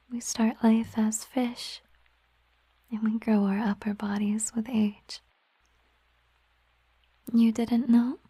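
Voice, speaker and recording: A woman voicing a gentle, affectionate siren in a whispered ASMR roleplay, speaking softly and intimately in an unhurried, musing way, close to the microphone. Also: a little high, no room sound, poor audio. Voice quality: soft, breathy whisper. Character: gentle, affectionate female siren, a mermaid girlfriend. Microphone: close microphone, dry sound.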